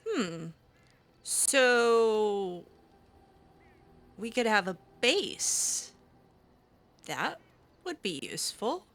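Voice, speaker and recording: A woman's voice: on the high side, big pitch swings, drawn-out words, long pauses, a bit bright. A woman improvising in-character roleplay, hesitant and full of ums. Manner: hesitant, with frequent ums and restarts. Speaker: roleplaying game player. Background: faint game music.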